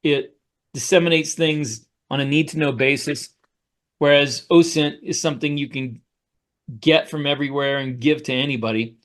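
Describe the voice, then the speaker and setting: A man co-hosting a podcast, telling anecdotes in casual chat with a halting, rambling delivery. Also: fairly high pitch, steady tone, fairly bright tone. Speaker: podcast co-host, author.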